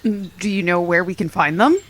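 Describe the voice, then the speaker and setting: A woman's voice: on the low side, brisk, lightly acted. A woman playing a laid-back, skeptical friend on an adventure in an audio drama, with a casual, dry delivery. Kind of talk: scripted audio drama acting.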